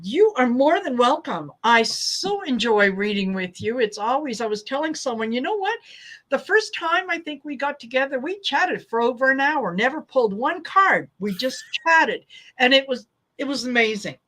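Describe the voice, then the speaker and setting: A woman's voice: high-pitched, big pitch swings, normal speed, fairly bright tone, low-quality recording. A woman hosting a tarot livestream, chatting casually and agreeably, laughing often. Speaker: tarot channel host.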